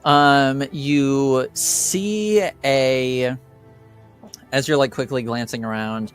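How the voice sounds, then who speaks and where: A man narrates a tabletop game as its game master over music. His delivery is unhurried and halting as he feels for the next phrase, and his tone is clean and smooth. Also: mid-range voice. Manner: halting, searching for words. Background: background music.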